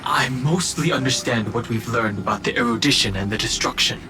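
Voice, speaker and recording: A man's voice: mid-range voice, fairly quick, noisy background, lightly acted. A man playing a stoic, serious young adventurer in a video game, earnest, formal and restrained. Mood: resolute. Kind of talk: video game character voice acting.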